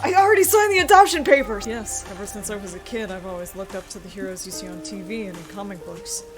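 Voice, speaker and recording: An excitable, giggly woman playing a video game with friends, chatting and reading lines aloud in a casual way. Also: mid-range voice, quick pace. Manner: excitable, giggly, breaks into loud laughing exclamations. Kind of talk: casual group commentary while playing and voicing a detective video game. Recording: game music playing behind the voice. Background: video game music.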